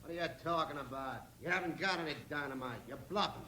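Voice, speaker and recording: An actor playing a ruthless, blustering outlaw gang boss in the style of a 1950s radio western. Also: male voice, fairly high pitch, fast talker, sharp tone. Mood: furious defiance. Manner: blustering, threatening. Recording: echoey, hissy old 1950s radio recording.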